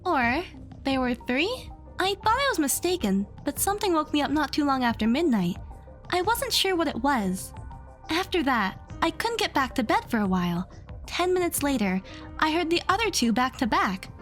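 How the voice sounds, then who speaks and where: A woman's voice: sits high, sing-song, moderate pace, soft background music. A woman playing an earnest, determined young woman acting as defender in an anime-style audio drama. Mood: hesitant, uneasy. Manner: earnest, insistent.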